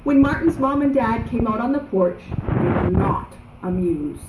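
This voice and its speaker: Woman reading a storybook in an echoey room. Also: a little high. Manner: animated, dramatic, drawn-out emphasis. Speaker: storybook reader. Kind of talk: expressive children's read-aloud narration. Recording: roomy echo, background noise.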